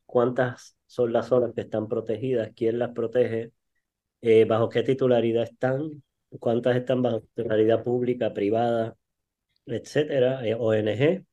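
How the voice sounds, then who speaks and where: A man with a Puerto Rican accent, a returning guest who explains his project in a measured way. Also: mid-range voice, fairly even intonation, warm tone, muffled recording. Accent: Puerto Rican Spanish. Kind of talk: casual conversation.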